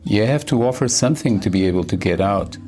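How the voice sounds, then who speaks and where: A man's voice: fairly low pitch, expressive, fast, over music. A man giving a translated voice-over of an interview.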